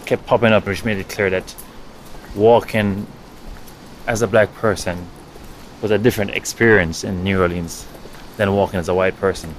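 A man with a Jamaican accent speaks in a somewhat low voice, telling his own stories in a measured, reflective way. He pauses often mid-thought, and faint street ambience sits behind him. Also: steady tone, a little hiss or hum, low-quality recording. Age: adult. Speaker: writer and guest. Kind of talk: reflective storytelling.

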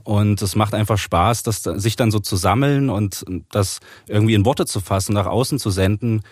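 A man's voice: on the low side, normal speed, no echo, studio quality. A man speaking as an interview guest, explaining things casually and a little hesitantly as he thinks aloud. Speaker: interview guest, author and poetry slammer.

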